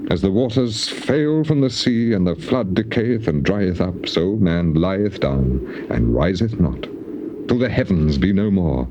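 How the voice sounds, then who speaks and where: Deep, theatrical man righteous sufferer. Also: lively intonation. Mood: mournful, resigned. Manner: declamatory, impassioned, with weighty pauses. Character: suffering, defiant righteous man pleading with God. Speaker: actor in dramatized scripture recording. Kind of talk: dramatized archaic scripture, theatrical declamation. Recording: dull, noisy old cassette tape recording.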